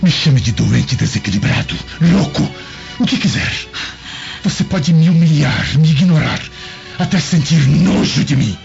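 Lecherous governor: insistent, theatrical, gravelly voice, dull radio drama audio with music bed. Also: male voice, on the high side, expressive, a little slow, a little mellow. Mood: pleading, menacing. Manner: smooth-talking, insistent, theatrical. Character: lecherous, opportunistic Roman governor. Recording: muffled lo-fi, music underneath.

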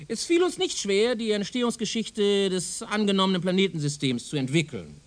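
A man's voice: high-pitched, very animated, moderate pace. A man playing a zealous, self-assured professor of speculative science in a German radio drama, lecturing in a pedantic, insistent way. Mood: eager lecturing.

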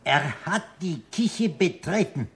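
An actor playing a self-important restaurant owner in a radio drama, florid and ceremonious, with an unctuous, sinister charm. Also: male voice, on the high side, expressive, takes it slowly. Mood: mock solemn, sinister. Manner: florid, ceremonious, repeats polite phrases. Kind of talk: German radio drama acting.

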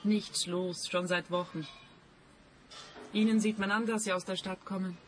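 A woman's voice: mid-range voice, steady tone, measured pace, soft background music, close to an everyday voice. A young woman playing a bored but chatty country-inn waitress in a radio play, speaking in short, laconic sentences. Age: young adult.

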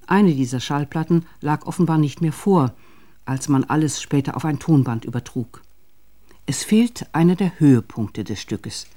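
A man reading a scripted radio documentary in a measured, formal manner. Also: on the high side, mellow. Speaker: narrator of a radio feature.

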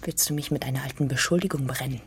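A woman's voice: rumbling low, quick pace. Defiant farm woman, bitter, guarded, radio drama, slight background noise. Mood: bitter, accusing. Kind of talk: literary radio drama acting.

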